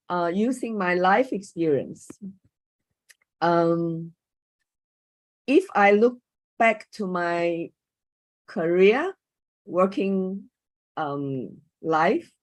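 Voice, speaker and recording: Slow, measured woman speaker. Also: fairly low pitch, darkish tone. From Taiwan. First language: Chinese. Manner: measured, thoughtful, pauses often with ums. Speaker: guest speaker, coach and former teacher. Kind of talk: online panel presentation.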